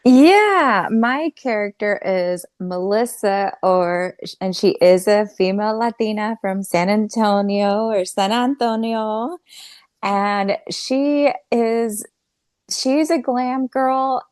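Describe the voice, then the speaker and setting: A woman as an interview guest, talking casually in a halting, playful way about her work. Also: medium pitch, darkish tone, clear, resonant tone, dry recording, studio quality. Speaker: guest, stage play actor.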